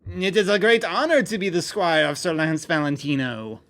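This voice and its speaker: A man's voice: a little high, normal speed, fairly bright tone. A man voicing a vain, boastful knight in an amateur fantasy story reading, with haughty, formal speech. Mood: grandiose. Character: pompous, boastful male knight, theatrical and vain.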